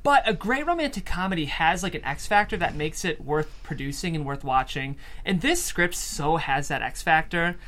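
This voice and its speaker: Lively, lower-voiced woman host. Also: moderate pace, hardly any pauses. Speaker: host and stage-direction reader. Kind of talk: table read narration and casual interview.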